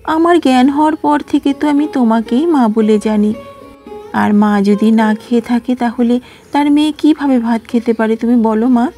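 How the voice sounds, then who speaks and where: A woman voicing a kind, patient young girl who pleads softly and sweetly. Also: a little high, moderate pace, faint music behind, pitched above the performer's own voice, close to an everyday voice. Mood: coaxing.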